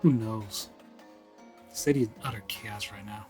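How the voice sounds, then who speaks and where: A man playing a world-weary investigator in a radio drama, speaking in a grave, deliberate, dramatic way. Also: lowish voice, a little mellow, soft background music, lightly acted.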